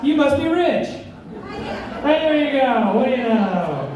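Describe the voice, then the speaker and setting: A man's voice: extremely high pitch, sing-song, unhurried, crowd noise. A man in his thirties doing a crude, self-deprecating stand-up set. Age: 30s. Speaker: stand-up comic. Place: comedy club stage. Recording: echoey club from far off, noisy.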